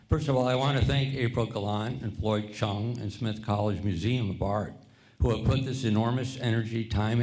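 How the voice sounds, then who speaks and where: A man, a master printer, giving a prepared panel talk in an earnest, deliberate way, now and then stumbling and repeating words. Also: fairly low pitch, darkish tone. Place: museum event room before an audience. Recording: echoey room sound.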